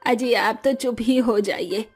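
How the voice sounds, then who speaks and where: A woman voicing excitable, bossy women of a family in a cartoon comedy, emphatic and exclaiming. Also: fairly high pitch, a little slow, slightly rough, faint music behind. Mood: grumbling. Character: bossy, excitable mother-in-law and daughter-in-law obsessed with street snacks.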